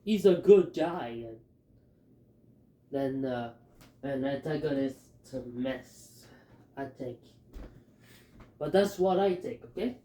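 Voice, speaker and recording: A man with a Filipino accent talking through his fan theories in a halting, hesitant way, with many fillers. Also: a little high, lively intonation, mellow, roomy sound. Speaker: fan commentator. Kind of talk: casual theory talk.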